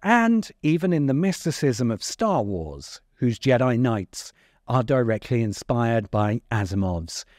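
A man's voice: medium pitch, sing-song, unhurried, a little mellow, dry recording. A middle-aged man hosting a video essay, delivering scripted narration into a close microphone. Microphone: close microphone on a stand in front of him.

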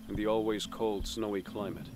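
A man's voice: lowish voice, little pitch movement, normal speed, lightly acted. Man acting a grim, weary assassin over music. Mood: grim warning. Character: weary, grim male assassin, bluntly cautionary. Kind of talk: audio drama acting.

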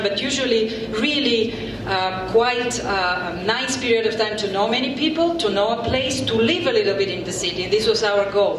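Bulgarian-accented woman in an echoey hall. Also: mid-range voice. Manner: halting, many filler pauses, warm thanks. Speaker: urban planner presenting a workshop. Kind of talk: public presentation to a community meeting. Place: large event room with audience. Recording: echoey hall from far off, crowd murmur, background noise.

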